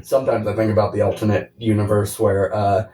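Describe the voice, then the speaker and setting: A man's voice: fairly low pitch, little pitch movement, fairly quick, dark tone, slight background noise. A man co-hosting a podcast, chatting casually and comedically about films in a jokey, rambling way, often laughing as he talks. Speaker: podcast co-host. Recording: roomy echo, distant from the mic.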